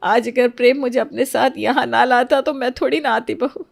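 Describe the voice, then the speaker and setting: A woman's voice: on the high side, fairly even intonation, normal speed, vocal fry, pitched above the performer's own voice. Woman acting an emotional, devoted elderly mother. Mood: hurt, pleading. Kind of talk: Hindi cartoon story acting.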